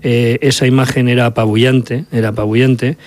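A man's voice: medium pitch, level tone, brisk, darkish tone, dry recording. Bilbao-accented man, journalist in radio studio. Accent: Basque, from Bilbao. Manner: reflective, anecdotal, laughs easily. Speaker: journalist guest. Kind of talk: radio interview answers. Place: studio at a narrow table with a chroma backdrop. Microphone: table microphone.

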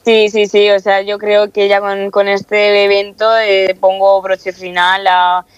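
A woman's voice: lowish voice, no echo. A young woman from Castilla-La Mancha, a student council member, answering interview questions in a chatty way with many fillers and restarts. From Castilla-La Mancha, Spain. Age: young adult. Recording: dull lo-fi dry sound.

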